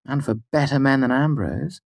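A man voicing a blunt, sarcastic, proud small woman with a curt, sharp manner, as in an audiobook. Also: mid-range voice, normal speed, vocal fry, no room sound, clean studio sound, voice pushed up. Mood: teasing, appreciative. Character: sardonic, blunt, foul-mouthed small woman with fierce pride. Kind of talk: audiobook character dialogue.

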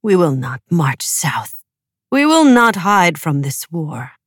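A woman's voice: lowish voice, a little slow, slightly rough, dry recording, studio quality. A woman voicing a ruthless male preacher from a storybook, declaiming firm and commanding.

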